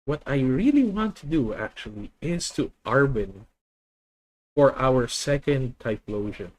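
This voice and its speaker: A man with a Filipino accent talking through his plays on a live stream. He reasons out loud in a methodical way, often stopping to correct himself mid-sentence. His voice sits at an ordinary middle pitch. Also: big pitch swings, measured pace. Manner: thinking aloud, methodical, self-correcting. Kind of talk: live deck-test commentary.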